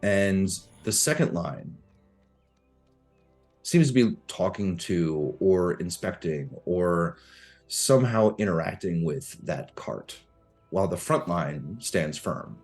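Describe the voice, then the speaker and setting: A man narrating a tabletop game as game master, describing the scene slowly and hesitantly, with many fillers. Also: low-pitched, lively intonation, darkish tone, faint music behind.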